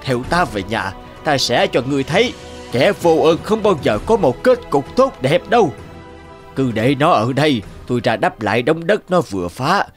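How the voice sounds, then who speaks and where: Stern old cartoon farmer played by a man, scolding firmly with animated intonation. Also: mid-range voice, moderate pace, faint music behind, lightly acted. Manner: firm, scolding.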